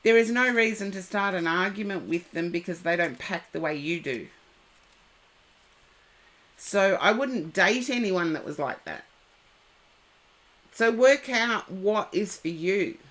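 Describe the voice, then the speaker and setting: A woman with a head cold, giving tarot readings and gentle advice to viewers on a live stream. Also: medium pitch, lively intonation. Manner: slow, unhurried, gently advising viewers by name.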